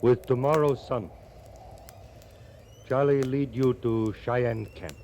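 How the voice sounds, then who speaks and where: Slow, solemn frontier scout. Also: male voice, mid-range voice, steady tone, a little mellow. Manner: halting, clipped broken English. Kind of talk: 1950s radio drama acting. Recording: hissy vintage radio recording.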